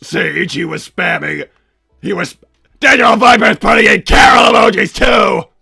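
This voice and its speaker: Casting: bombastic cartoon mad-scientist villain, doting but hot-tempered, high-pitched male voice with heavy rasp. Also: lively intonation, unhurried, sharp tone, no room sound, raised voice, faint music behind, full character voice. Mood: indignant, firm. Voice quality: gravelly, raspy. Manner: blustering, bombastic. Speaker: livestream host. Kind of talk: in-character livestream chat.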